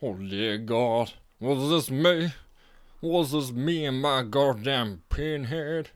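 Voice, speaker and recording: Guy putting on a loud brash dude, swears a lot, smooth clean voice, dry close sound. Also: medium pitch, expressive, takes it slowly, dry recording. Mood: fretful. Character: brash loud adult man, boastful party guest.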